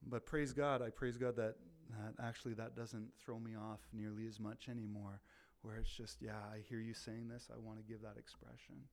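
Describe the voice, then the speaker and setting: A man who leads a ministry, talking about faith in a loud, animated way, often repeating words as he restarts. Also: fairly low pitch, normal speed, gravelly. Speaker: ministry leader. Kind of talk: casual faith talk.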